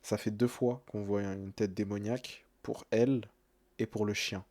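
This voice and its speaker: A man's voice: on the low side, steady tone, moderate pace, background noise. A man giving casual reaction commentary as a streamer, hesitant and often repeating his words. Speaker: streamer reacting to horror videos.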